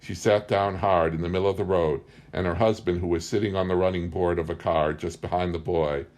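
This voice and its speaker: A man's voice: deep voice, fairly even intonation, a little fast, darkish tone. A man reading a book aloud as scripted story narration. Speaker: audiobook reader.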